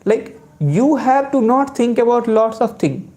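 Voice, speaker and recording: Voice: medium pitch, expressive, a little slow, darkish tone. A spoken English teacher whose first language is Hindi, talking in an Indian English accent in an unscripted lesson to viewers. The speaker rambles and keeps tagging lines with "right?" and "you know".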